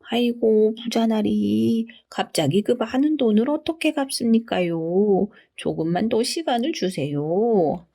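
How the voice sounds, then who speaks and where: Storybook peasant father, read by a woman: humble, frightened, tearfully begging, mellow tone. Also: on the high side, animated, a little slow, gravelly, few pauses, voice pushed up, full character voice. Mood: pleading. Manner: deferential, fearful, tearful pleading. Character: poor, timid farmer, devoted father, humbly pleading.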